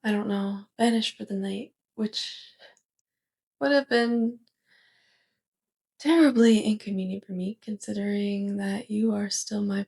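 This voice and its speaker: A woman playing a curious, polite, awkward alien roommate in an ASMR roleplay, with soft, stilted, over-formal and hesitant speech. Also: fairly high pitch, creaky, exaggerated voice. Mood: earnest, awkward.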